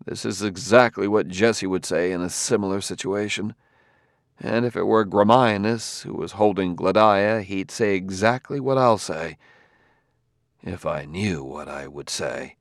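A man's voice: low voice, unhurried, no echo, clean studio sound. A man voicing a weary, dogged male investigator in an audiobook novel, blunt and resigned yet earnest and tender. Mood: gentle, reassuring.

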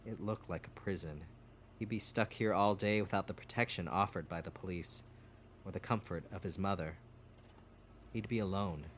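Guy reading an audiobook story, kinda low voice, scripted narration. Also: normal speed, a bit bright, slight background noise.

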